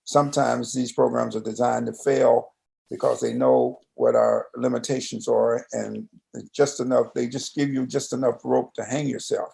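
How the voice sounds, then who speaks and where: Very gravelly man moderating a discussion. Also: medium pitch, expressive, moderate pace, mellow. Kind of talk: conversational panel moderating.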